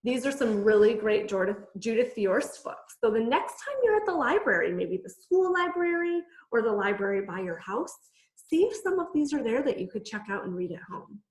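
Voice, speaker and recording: A woman reading a picture book aloud to children in an animated, expressive bedtime-story style with dramatic emphasis. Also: fairly high pitch, moderate pace. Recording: echoey room.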